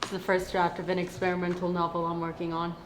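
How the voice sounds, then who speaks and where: Actress as breezy, brazen vixen novelist. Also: female voice, on the low side, monotone, fast, close to an everyday voice. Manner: breezy, self-important. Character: self-assured, brazen femme fatale novelist. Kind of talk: acted dialogue in a comedy-drama web series. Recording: roomy sound with faint background noise.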